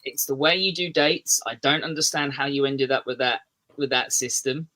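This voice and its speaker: English man from the southeast, guest on a chat show, rambling and self-mocking, bright-toned delivery. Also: medium pitch, moderate pace. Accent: English, southeast England. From England. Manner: rambling, restarts sentences, self-deprecating humour. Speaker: podcast guest, metal musician. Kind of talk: casual podcast chat.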